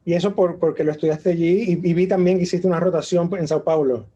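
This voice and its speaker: A woman's voice: fairly low pitch, steady tone, fast, mellow, dull audio. A woman hosting an interview, hesitant and repeating words as she asks questions over a video call. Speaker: host.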